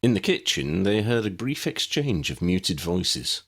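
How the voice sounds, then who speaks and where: A male narrator reading fantasy fiction in a slightly low voice. His pitch moves around in a very lively way as he tells the story. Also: unhurried, creaky, slightly rough. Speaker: audiobook narrator.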